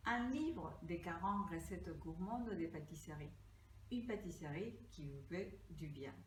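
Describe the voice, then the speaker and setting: Slow, earnest older woman from Peru. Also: on the low side, a little hiss or hum. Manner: slow, deliberate, earnest, reading from notes. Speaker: pastry cookbook author. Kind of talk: crowdfunding pitch. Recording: echoey room, mic at a distance.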